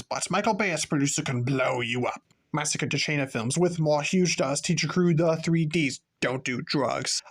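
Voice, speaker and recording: A man's voice: fairly high pitch, close to an everyday voice. A man performing a battle rap as an arrogant, refined cannibal killer, boastful and mocking.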